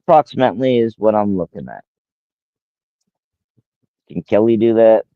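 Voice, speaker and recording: A man's voice: fairly low pitch, lively intonation, normal speed, no room sound. Man, 40s, rambling livestreamer who repeats himself; dead dry, muffled lo-fi sound. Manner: rambling, addresses a viewer by name, repeats himself. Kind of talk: casual livestream talk.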